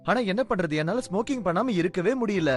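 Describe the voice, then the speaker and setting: A woman voicing a mild, affectionate father in a dubbed cartoon, gentle and expressive. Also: mid-range voice, fast talker, faint music behind, natural voice. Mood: sheepish, weary.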